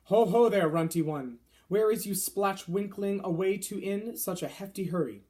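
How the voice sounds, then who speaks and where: A man voicing huge, menacing man-eating giants in a children's storybook, with booming shouts and mangled grammar. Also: normal speed, close to an everyday voice. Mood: mocking, loud.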